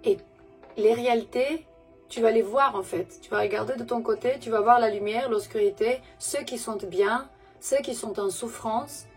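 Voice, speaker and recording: A woman giving a gentle, soothing spiritual message to her viewers in a language that is not her first, pausing often and correcting herself. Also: a little high, faint music behind. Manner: gentle, soothing, halting with pauses and self-corrections; speaks French as a second language. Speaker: spiritual messenger.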